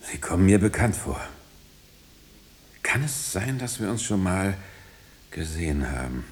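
Very deep-voiced, guarded fugitive man. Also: sing-song, unhurried. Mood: guarded, secretive. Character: mysterious injured fugitive man, guarded and strange. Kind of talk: radio play acting.

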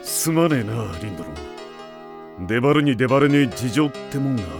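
A man playing a gruff, warm-hearted middle-aged father and god king in an anime audio drama, gravelly and blunt in a rough old-fashioned way. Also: mid-range voice, lively intonation, fairly quick. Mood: gruff, tender. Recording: music playing under the voice.

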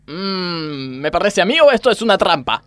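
A man's voice: sits high, big pitch swings, normal speed, no room sound. A man playing an exasperated, suspicious factory boss in a comedy radio sketch, incredulous and scolding.

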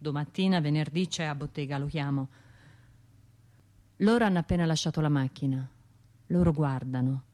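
A woman's voice: low-pitched, normal speed, pauses often, mellow, lightly acted. An actress playing a bitter, sardonic, grieving woman with a Tuscan accent in a colloquial radio drama monologue. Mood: tense, irritated. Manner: colloquial, sardonic, acting out other people's remarks. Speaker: stage and radio actor.

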